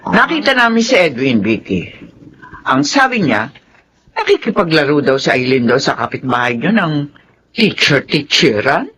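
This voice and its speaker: A woman's voice: sits low, very animated, moderate pace, a bit bright, slight background noise. An actress playing a meddling, demanding older grandmother with a nagging manner in a radio drama. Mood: reproving, nagging. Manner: reproving, nagging.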